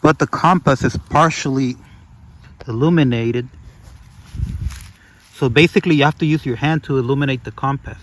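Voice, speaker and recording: A man's voice: mid-range voice, normal speed, pauses often, a little hiss or hum, poor audio. A man giving a casual, unscripted hands-on demonstration as a product reviewer, narrating each step and often repeating himself.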